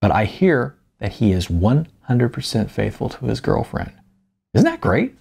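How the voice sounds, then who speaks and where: Man voicing an eager young woman, lively intonation. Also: mid-range voice, measured pace, gravelly, breathy edge, exaggerated voice. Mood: bright, chatty. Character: earnest, persistent young woman, chatty then probing. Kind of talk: audiobook character dialogue.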